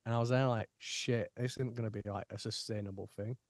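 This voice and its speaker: A man's voice: fairly low pitch, brisk, no room sound, clean studio sound. A young man hosting a podcast, chatting casually and acting out what he used to say. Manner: animated storytelling, acts out his own past remarks. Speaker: podcast host. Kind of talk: casual chat.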